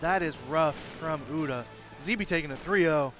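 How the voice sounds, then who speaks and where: A man commentating an esports tournament in casual, upbeat chat, his voice slightly hoarse from cheering. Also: a little high, over music. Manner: chatty and upbeat, quick rambling asides.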